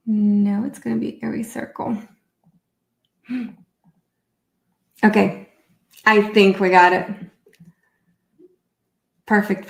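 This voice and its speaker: A middle-aged woman from Brazil, a native Portuguese speaker, hosting an informal live online lesson in a chatty, animated way that addresses viewers directly. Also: medium pitch, vocal fry. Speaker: course instructor and webinar host.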